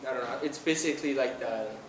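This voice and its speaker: A man's voice: mid-range voice, racing. A young man from Iran answering questions in a casual outdoor interview, hesitant, with many restarts and fillers. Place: outdoors on an island, city nearby. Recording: roomy, noisy outdoor camera audio from a distance.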